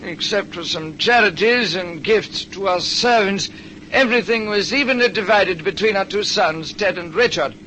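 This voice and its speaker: A man's voice: high-pitched, sharp tone, slight background noise, pitched above the performer's own voice, poor audio. A man voicing an elderly, loyal butler with formal, deferential manners in a 1940s radio drama. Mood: reserved, hesitant. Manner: deferential, measured, proper.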